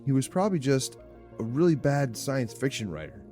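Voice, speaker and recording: An actor playing a skeptical, reluctant survivor in an audio drama, grumbling and sardonic. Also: male voice, medium pitch, very animated, normal speed, dark tone, faint music behind, lightly acted. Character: skeptical, grumbling, reluctant man trapped in a basement. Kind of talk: scripted audio drama acting.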